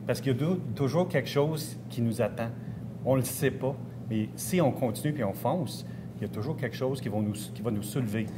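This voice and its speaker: A middle-aged man from Ontario answering interview questions conversationally, with frequent pauses. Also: mid-range voice. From Ontario, Canada. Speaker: engineer, entrepreneur and interview guest. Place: restaurant. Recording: clear background ambience noise.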